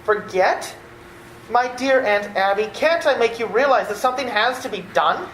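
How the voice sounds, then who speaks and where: Woman acting a flustered nephew, echoey and animated. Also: a little high, normal speed, close to an everyday voice. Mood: exasperated. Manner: wry, sputtering, incredulous. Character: exasperated, flustered nephew, an adult man. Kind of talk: old-time radio play acting. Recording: echoey room, slight background noise.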